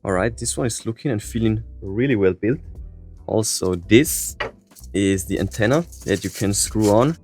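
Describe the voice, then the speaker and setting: A man's voice: on the low side, moderate pace, a little mellow, soft background music. A man presenting a casual gadget unboxing and review as a tech reviewer.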